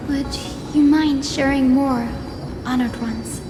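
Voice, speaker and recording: A woman's voice: high-pitched, fairly even intonation, slow, over music. An actress playing a polite, inquisitive young noblewoman in a fantasy game, speaking thoughtfully and earnestly. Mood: respectful. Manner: courteous, thoughtful. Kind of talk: dramatic fantasy game voice acting.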